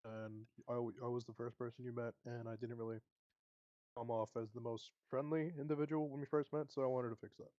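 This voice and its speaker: An amiable but awkward former Marine turned mercenary, acted by a man at a game table, talks haltingly in an everyday conversational voice. The pitch is middling, and the recording is close, dry and a little dull. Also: little pitch movement, dark tone, no room sound, lightly acted. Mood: flustered, friendly. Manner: hesitant, conversational.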